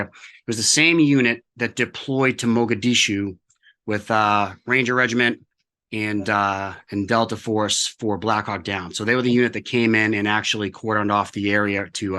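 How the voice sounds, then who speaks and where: A man's voice: lowish voice, bright, forceful tone. A man in his forties from Massachusetts, a veteran guest speaking plainly with many fillers. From Massachusetts, near Boston. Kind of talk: casual interview chat.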